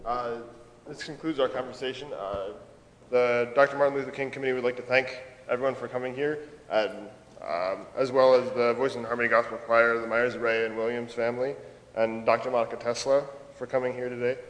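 Male event host, mid-range voice, formal but slightly halting announcements, very roomy hall sound with long reverb. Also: medium pitch, steady tone. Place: large echoey hall, public convocation.

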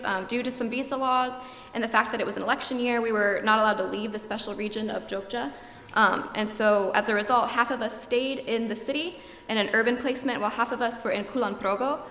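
A young woman gives a slide talk to an audience, earnest and informative, with lots of ums. Her voice sits fairly high and rings out in a big echoey hall with a long tail of reverb. A faint room hum sits under her words. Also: fairly bright tone. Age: young adult. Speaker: student presenter.

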